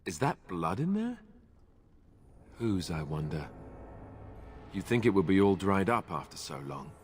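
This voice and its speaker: Low-voiced, puzzled male game companion. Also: very animated, unhurried, a little mellow. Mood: puzzled, curious. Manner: plain-spoken, puzzled. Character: plain-spoken, wondering male warrior companion. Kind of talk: video game dialogue acting. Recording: game audio with faint ambient noise.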